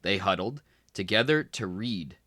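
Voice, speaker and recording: Man reading a kids' story aloud, slow and bouncy, bright tone, dry sound. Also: lowish voice, no echo. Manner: lively, expressive reading with small voice shifts for characters. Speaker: children's book reader. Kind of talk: read-aloud storybook narration.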